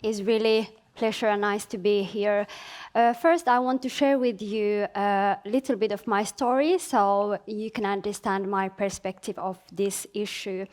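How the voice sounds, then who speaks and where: A woman's voice: medium pitch, steady tone, measured pace, darkish tone. A woman, born in Somalia and raised in Finland, gives a conference presentation in a hesitant way, with frequent filler sounds and restarts. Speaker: conference speaker. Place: conference venue.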